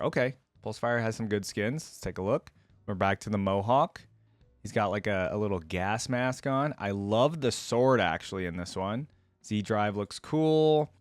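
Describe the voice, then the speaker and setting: A man's voice: fairly low pitch, no room sound. A man hosting a reaction video, giving casual, opinionated commentary in an informal, exclaiming way.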